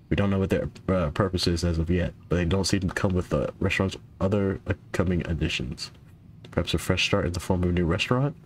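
A man's voice: sits low, a little hiss or hum, poor audio. A young man casually playing a horror game and reading notes aloud, stumbling and correcting himself while his voice gives out. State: losing his voice. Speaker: gaming YouTuber.

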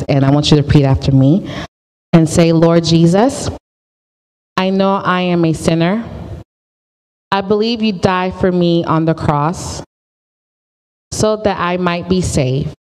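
A woman's voice: low-pitched, darkish tone, in a large hall, rough recording. A woman teaching a pre-teen church class from a stage with a handheld mic, earnest and often repeating herself.

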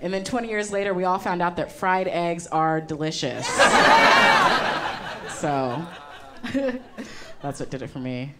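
A young woman with a low voice performs stand-up on a club stage, speaking into a handheld mic in a loose, chatty way peppered with ums. Loud bursts of audience laughter fill the live recording. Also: steady tone, a little slow, long pauses, over a noisy crowd, heavy background noise. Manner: conversational punchlines with frequent ums and laughs. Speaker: stand-up comedian. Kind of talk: stand-up comedy set. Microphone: handheld microphone.

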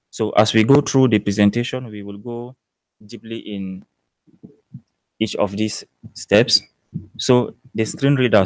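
Low-pitched Cameroonian man presenting. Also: a little mellow, dry recording. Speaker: PhD student presenting research. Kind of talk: technical conference presentation. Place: indoor presentation room.

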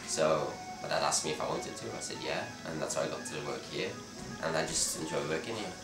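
Deep-voiced young man in a bar. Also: a little fast. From Belgium. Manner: relaxed, hesitant, frequent restarts. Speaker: interviewee. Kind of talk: casual interview answers. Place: dim bar with red walls, indoors. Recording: roomy, distant sound with loud music behind.

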